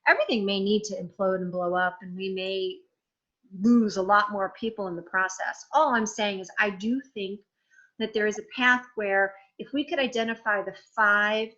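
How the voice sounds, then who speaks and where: A woman in her forties hosting a casual conversation, talking in an animated, frank way, swearing freely and often restarting her sentences. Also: mid-range voice, moderate pace, highs cut off. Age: 40s. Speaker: host.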